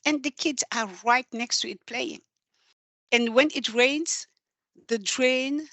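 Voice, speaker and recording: A woman with a Senegalese accent presenting in an earnest, measured, storytelling way in a prepared talk. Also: a little high, a bit bright, no room sound. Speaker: presenter from a development organisation.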